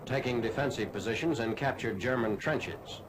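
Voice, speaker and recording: A man's voice: medium pitch, normal speed. An American man reading scripted wartime newsreel narration in a formal, clipped announcer style. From United States. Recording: noisy 1940s film soundtrack.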